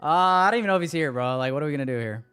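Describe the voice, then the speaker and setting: Smooth-voiced guy chatting on a livestream. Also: fairly high pitch, expressive, rapid-fire, fairly bright tone, soft background music. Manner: slangy, joking, swearing. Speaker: livestream host. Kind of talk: casual livestream chat with viewers.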